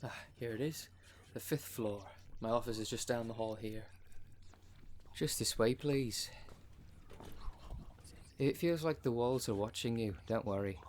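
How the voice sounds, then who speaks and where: A man playing a smooth-talking, con-artist female minister of a demon cult in an ASMR roleplay, with a polished, unctuous sales manner. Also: medium pitch, a little slow, pauses often, darkish tone, slight background noise, lightly acted. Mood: welcoming. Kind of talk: ASMR roleplay audio drama.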